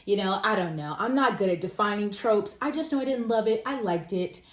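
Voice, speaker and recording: A woman's voice: medium pitch, lively intonation, fairly quick. A woman giving a casual, self-deprecating book review in an informal, conversational way. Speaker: book vlogger. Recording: roomy echo.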